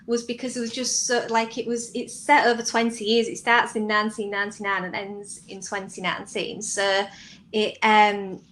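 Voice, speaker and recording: A woman's voice: mid-range voice, flat delivery, normal speed, fairly bright tone. A woman answering interview questions as a guest writer, talking conversationally about her own work.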